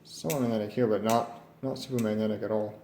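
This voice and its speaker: A man giving an unscripted hands-on walkthrough, musing aloud in a tentative, halting way. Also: fairly low pitch, fairly even intonation, mellow. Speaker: radio repair hobbyist presenting. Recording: roomy echo, background noise, varying distance.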